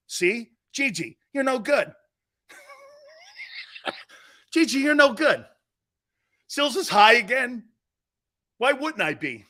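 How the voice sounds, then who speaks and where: Middle-aged man, sports talk host, high voice, bright tone, jokey and rambling. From Italian-American family. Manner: joking, rambling, laughs often. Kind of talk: casual live sports talk.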